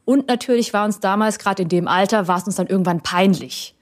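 A thoughtful woman in her thirties speaks at an even, middle pitch. She grew up in Germany and answers in a relaxed, conversational way. She pauses often and restarts her sentences as she looks for the right words. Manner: reflective, hesitant with many fillers and restarts. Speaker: guest.

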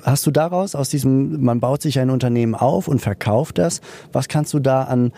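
A man's voice: mid-range voice, normal speed, warm tone, slight background noise. A man hosting an interview, asking questions and summing up his guest's answers, sometimes searching for words. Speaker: interviewer, host.

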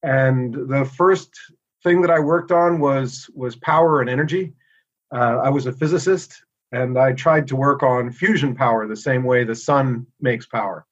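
A middle-aged American man speaking as a guest in a casual interview, relaxed and thoughtful with frequent pauses. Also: mid-range voice, muffled recording. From United States. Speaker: inventor and guest.

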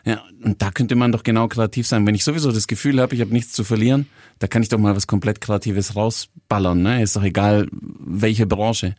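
A man's voice: fairly low pitch, steady tone, brisk, a little mellow, no echo, clean studio sound. A man co-hosting a casual chat, speaking colloquially and addressing the guest directly. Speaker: co-host.

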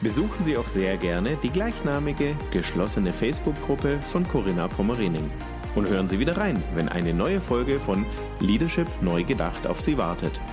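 A man reading a scripted podcast outro as announcer, in a smooth promotional read. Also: on the low side, very animated, moderate pace. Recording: voice over clear music.

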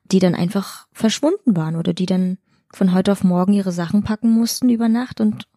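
A woman actress chats openly as an interview guest. Her voice is mid-pitched and mellow. She talks in a colloquial, candid way, recorded very dry. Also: steady tone, a little fast, no echo. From Chemnitz, Germany. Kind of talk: casual interview talk.

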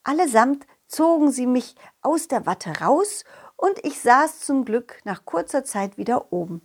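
A woman voicing a confident, loyal dog who tells his adventures directly to children in an animated, childlike way. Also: high-pitched, unhurried, breathy edge, full character voice. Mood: storytelling. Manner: childlike, animated, addresses young listeners directly. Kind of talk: children's audio story narration.

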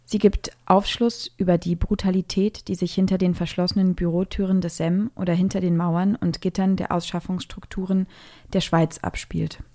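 A woman's voice: on the low side, little pitch movement, normal speed, dark tone. A woman presenting scripted news, reading it aloud.

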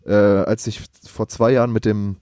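A man's voice: lowish voice, flat delivery, moderate pace, a little mellow, dry recording, studio quality. A young man chatting casually on a podcast, telling rambling stories in slangy speech. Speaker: podcast co-host. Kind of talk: casual chat.

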